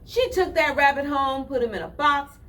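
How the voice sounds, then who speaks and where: A woman telling a children's story with deliberate phrasing, addressing young listeners directly. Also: high-pitched, expressive, fairly bright tone, far from the mic, a little hiss or hum.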